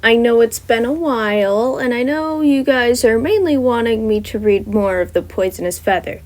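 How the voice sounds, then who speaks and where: A woman's voice: on the high side, normal speed, darkish tone. Upbeat woman reading her own fan fiction. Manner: chatty and upbeat between chapters. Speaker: fan fiction author and reader. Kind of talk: storybook reading with voices.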